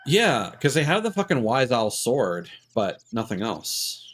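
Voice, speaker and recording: A man's voice: medium pitch, big pitch swings, a little slow, a bit bright, slight background noise. A man streaming a game in casual chat, reasoning things through aloud in an analytical way. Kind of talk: casual gameplay commentary chat. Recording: game music behind the voice. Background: video game music.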